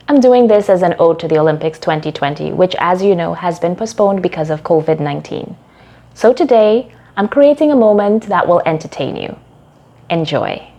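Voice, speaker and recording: A woman with a fairly low voice narrates a short story. She reads in a measured, deliberate manner, like a practiced storyteller, with music playing behind her. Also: a little mellow. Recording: music bed under the voice. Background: background music.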